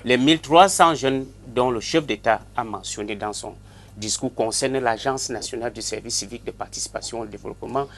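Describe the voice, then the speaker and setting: A man's voice: mid-range voice, brisk, slight background noise. A man, a TV panel guest correcting figures and stumbling over repeated words. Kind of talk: televised panel debate.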